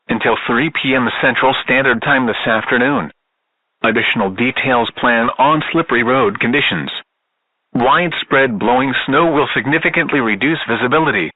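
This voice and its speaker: A man's voice: mid-range voice, no room sound. Phone-like radio sound, robotic man, stiff clipped phrasing, emergency weather bulletin. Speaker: automated weather alert announcer.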